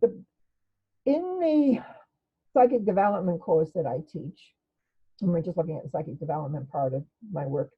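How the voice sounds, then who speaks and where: Older woman, loud, heartfelt webcam lesson. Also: fairly low pitch, animated, normal speed, pauses often, husky. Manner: loud, warm, emotional, with many restarts and fillers. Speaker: energy healing teacher. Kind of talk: casual spoken lesson to webcam. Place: living room at home. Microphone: webcam at desk. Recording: slightly dull lo-fi webcam audio.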